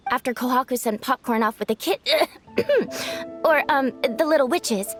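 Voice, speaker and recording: A woman voicing a gentle, polite young female yokai in a video game, earnest and a little hesitant. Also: high-pitched, a little slow, soft background music. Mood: earnest explaining.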